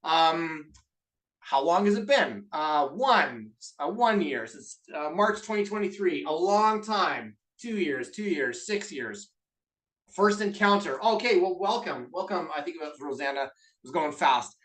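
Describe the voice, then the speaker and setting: A man's voice: on the high side, lively intonation, a little slow, fairly bright tone. Canadian guy running a zoom masterclass, peppy, lots of uhs, a bit far off the mic. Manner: upbeat, chatty, many filler uhs. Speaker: webinar host and business co-owner. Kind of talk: live online masterclass hosting. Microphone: video call mic.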